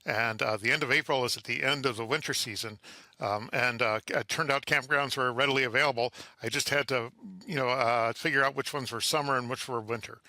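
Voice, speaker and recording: A man's voice: mid-range voice, fairly even intonation, fairly quick, sharp tone, no echo. A middle-aged man telling his experiences as an interview guest, hesitant and thinking aloud as he goes. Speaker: interview guest, amateur radio contester. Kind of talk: informal interview storytelling.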